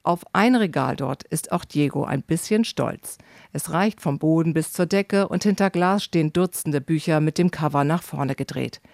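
A man with a slightly higher voice than most reads travel narration in a measured, descriptive way. The sound is dry and close, with no room around it. He keeps an even, scripted reporter's pace. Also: creaky, dry recording.

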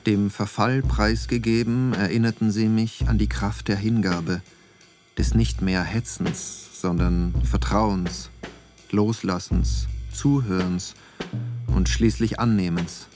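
A low-pitched man tells his story as a searching, reflective photographer. The delivery is measured and literary, in radio drama style, over faint music. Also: steady tone. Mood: contemplative. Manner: contemplative, measured. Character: reflective male photographer narrating his own searching wanderings. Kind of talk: literary radio play monologue.

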